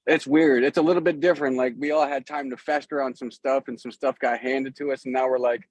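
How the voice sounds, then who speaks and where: A man's voice: mid-range voice, fairly even intonation, fairly quick, no echo. A middle-aged man chatting casually as an interview guest, telling stories in a rambling, easygoing way. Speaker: guest, musician. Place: outdoors.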